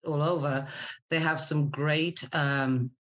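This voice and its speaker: A middle-aged woman with a low-pitched voice. She talks as an interview guest, casual and candid. Her delivery is chatty and conversational, suited to personal storytelling. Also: fairly even intonation, slow pace, a bit bright. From Scotland. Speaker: podcast guest, military spouse and business owner.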